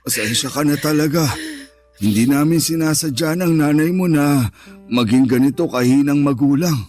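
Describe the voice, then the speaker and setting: A man playing a weak-willed, gentle older father in a Filipino radio drama. His voice sits a little high for a man, meek and conciliatory, with a Filipino accent. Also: normal speed, over music, natural voice. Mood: apologetic, pleading.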